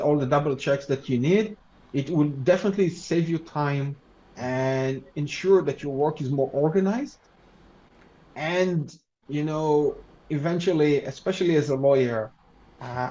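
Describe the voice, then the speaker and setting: Man, native Hebrew speaker, law researcher, measured and halting, lo-fi muffled sound. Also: medium pitch, dark tone, a little hiss or hum. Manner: measured, halting, with many restarts and "you know". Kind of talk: thoughtful interview answers.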